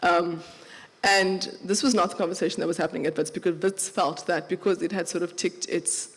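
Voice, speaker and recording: A woman with a flat Johannesburg accent, speaking as a panelist in a live festival discussion with animated storytelling. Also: on the low side, a little fast. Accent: Johannesburg South African. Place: festival venue with long reverb. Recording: live hall recording with reverb.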